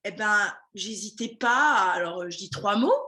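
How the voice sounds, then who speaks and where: A woman's voice: mid-range voice, animated, measured pace, pushed and bright. A woman running a language school, chatting casually in an interview with many fillers and restarts.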